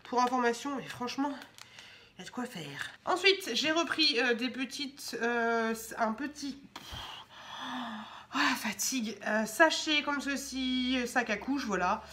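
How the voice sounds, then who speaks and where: A woman's voice: on the high side, animated, a little slow, bright, forceful tone, a little hiss or hum. A young woman with a cold, chatting casually at home as she shows off her shopping haul, with lots of fillers and restarts. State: has a cold, stuffy. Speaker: vlogger showing shopping haul. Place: home indoors.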